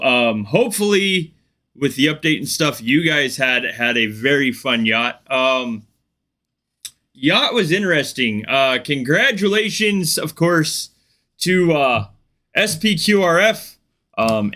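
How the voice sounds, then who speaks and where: A man hosting a casual live gaming stream, still a little congested from the flu. He talks slowly and loosely, leaning on ums and likes. His voice sits at a middle pitch with a bright edge. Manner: relaxed, chatty, many fillers.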